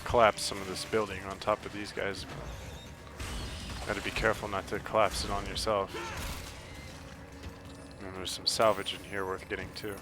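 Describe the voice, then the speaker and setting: A man giving casual, halting, explanatory commentary for a video game walkthrough, with game music behind him. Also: lowish voice, little pitch movement.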